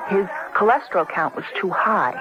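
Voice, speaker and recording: Actress as a chatty, animated wife. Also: female voice, medium pitch, normal speed, natural voice. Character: chatty, contented wife of heart patient. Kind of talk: conversational radio ad acting. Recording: dull, noisy old radio with crowd.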